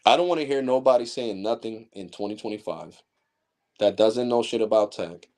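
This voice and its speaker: A man in his forties rants to his livestream audience as a tech channel host. He is blunt and heated, swearing freely as he lectures viewers, and his voice sits a little low. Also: moderate pace, darkish tone. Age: 40s. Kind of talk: casual ranting livestream monologue.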